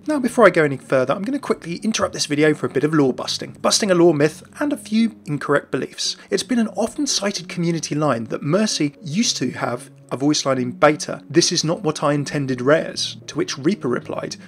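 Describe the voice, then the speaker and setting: A man's voice: a little high, animated, a little fast, few pauses. A man hosting a video, narrating game lore in a chatty, enthusiastic scripted style. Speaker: gaming lore video host.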